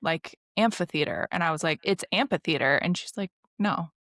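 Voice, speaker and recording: A woman in her thirties co-hosting a podcast with a low, gravelly voice. She chats casually and wryly, laughing often, recorded dry on a close microphone. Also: normal speed, a bit bright, dry recording. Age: 30s. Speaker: podcast co-host. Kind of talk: casual chat.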